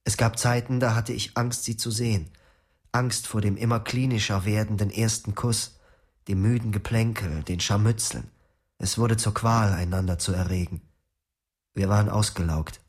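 A man's voice: lowish voice, steady tone, normal speed, natural voice. A man playing a weary, subdued narrator. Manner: subdued, reflective. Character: weary young man telling his own story. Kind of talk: first-person radio drama narration.

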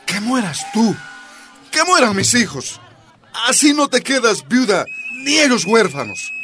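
A gruff working-class Bolivian husband in a radio drama. His pitch jumps around as he challenges his wife emphatically in a Bolivian accent. Faint music plays behind. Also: sing-song, a little slow, sharp tone, full character voice. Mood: defiant, challenging. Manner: emphatic, scolding.

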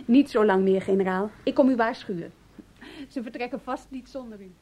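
An actress playing a polite, attentive airport hostess in a radio play, speaking with formal courtesy. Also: female voice, on the high side, fairly quick, close to an everyday voice. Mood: courteous. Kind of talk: Dutch radio play acting. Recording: radio play with noisy ambience.

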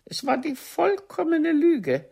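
An actress playing a proud ageing stage diva in a German radio play, reproaching a former lover in a husky, theatrical, cutting way. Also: female voice, sits high, big pitch swings, slow pace, dark tone, exaggerated voice. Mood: accusing. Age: older. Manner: theatrical, cutting, formal address.

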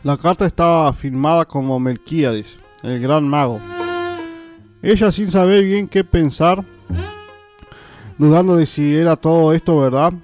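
A man's voice: fairly high pitch, measured pace, pauses often, darkish tone, soft background music. A man reading scripted narration aloud as a narrator.

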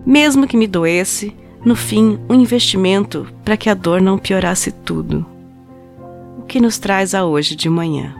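A somewhat low female voice tells a confession over soft music as a woman acts it out. She is an obsessive, coldly rational scientist in an audio drama. Her storytelling is deliberate and intense. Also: gravelly. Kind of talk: dramatized first-person audio story narration.

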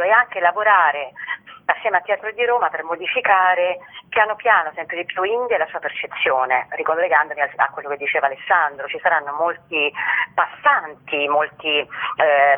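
A woman speaking as an interview guest, presenting an event programme in a hesitant way, searching for words and correcting herself. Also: fairly low pitch. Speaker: guest, theatre company member presenting a festival. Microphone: telephone. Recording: tinny, narrow-band phone line.

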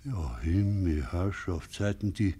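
A husky, wily Bavarian farmer. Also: male voice, low voice, animated, takes it slowly, dark tone, heavily acted. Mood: indignant, scornful. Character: gruff, wily Bavarian farmer, amorous then scornful. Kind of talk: 1950s dialect radio drama acting.

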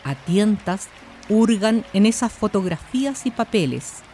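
A woman's voice: mid-range voice, lively intonation, unhurried. A woman delivering scripted literary narration for a radio drama. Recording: faint music and rain bed. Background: soft music and rain.

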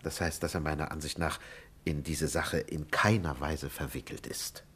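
An actor playing a grave, guarded doctor in a 1950s German radio play, formal and courteous with a hesitant delivery. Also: male voice, rumbling low, fairly even intonation, normal speed, a bit bright, slightly rough, exaggerated voice. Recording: 1950s radio recording, faint noise.

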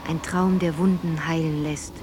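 An actress playing a grave, reflective narrator in a literary radio drama, speaking in a solemn, poetic and measured way. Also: female voice, low-pitched, fairly even intonation, noisy background. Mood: wistful. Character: grave, reflective woman narrator remembering her childhood.

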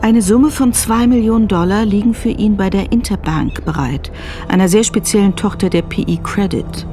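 A woman giving a measured, scripted narration in a radio drama. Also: mid-range voice, music in the background.

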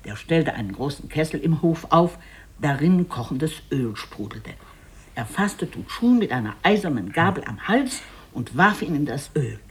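Husky, highly expressive storyteller. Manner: deliberate, expressive. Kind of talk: dramatic literary tale narration.

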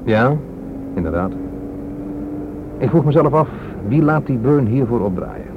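A man's voice: mid-range voice, animated, measured pace, natural voice. A man acting a weary, corrupt police inspector in a radio play, frankly explaining and justifying himself. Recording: muffled lo-fi radio recording, strong noise.

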